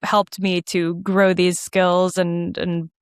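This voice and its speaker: A woman speaking as an interview guest in a casual, animated, informal way. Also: fairly low pitch, slow, clean tone, dry recording, studio quality. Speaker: guest, musical theater writer and performer. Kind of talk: casual conversational interview answers.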